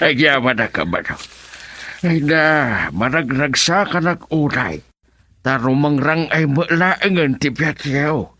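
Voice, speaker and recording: An actor playing a cheerful old Ilocano grandfather in a radio drama, with an Ilocano accent and a drawn-out, chuckling delivery. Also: on the high side, lively intonation, pushed and bright, no room sound, exaggerated voice. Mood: delighted. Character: cheerful old father-in-law, a village grandfather.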